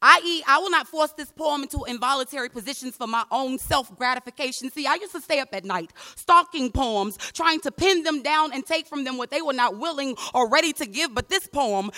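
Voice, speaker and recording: A woman performing slam poetry in a deliberate, emphatic delivery. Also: high voice, sharp tone. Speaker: spoken word poet. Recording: reverberant hall.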